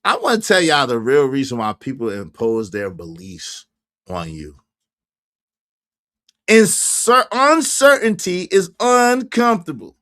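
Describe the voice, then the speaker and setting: A man around fifty hosts a casual livestream from home. His voice is bright and sits a little high. He talks animatedly, laughing and reacting to viewers' comments. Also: huge pitch swings, unhurried. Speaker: livestream host.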